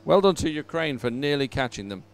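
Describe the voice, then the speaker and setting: A man's voice: a little high, expressive, fairly quick. A man giving live race commentary in an informal, conversational and encouraging way. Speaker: sports commentator.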